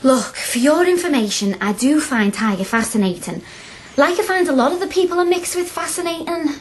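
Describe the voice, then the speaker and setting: A woman's voice: high voice, animated, brisk, a little hiss or hum. An actress playing a spirited, earnest young working-class woman student with a blunt, impatient, impassioned manner in a radio drama. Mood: defensive, impatient. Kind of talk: naturalistic radio drama acting.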